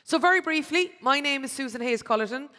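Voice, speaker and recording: A woman's voice: sits high, lively intonation, fast, bright, forceful tone. Chatty woman in an echoing hall. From Cork, Ireland. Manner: chatty, friendly, with personal asides. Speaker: conference MC and radio presenter. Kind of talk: conference hosting address. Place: large city hall with an audience. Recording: long echoing reverb of a large hall.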